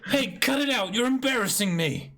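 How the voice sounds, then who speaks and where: A man voicing a gentle, sentimental living skeleton who is a pirate musician in a manga reading. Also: very high voice, animated, normal speed, pitched above the performer's own voice, heavily acted. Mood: embarrassed.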